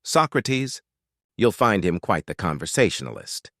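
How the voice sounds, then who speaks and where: Reclusive storybook baron, British, male narrator, bookish and resigned, lowish, quietly wry delivery. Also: sing-song, unhurried, dry recording, studio quality, exaggerated voice. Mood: wry amusement.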